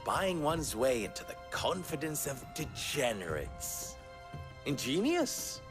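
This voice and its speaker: An actor playing a wry, sardonic merchant fixer with a dry, teasing manner in a game cutscene. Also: male voice, mid-range voice, big pitch swings, slow, music in the background. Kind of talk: video game cutscene acting. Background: game score.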